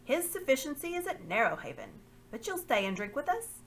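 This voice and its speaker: Brief: greedy jovial slaver, bluff and higher than usual , a woman doing the voice. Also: big pitch swings, fairly quick, fairly bright tone, slight background noise, heavily acted. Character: gruff cheerful male slave merchant, greedy.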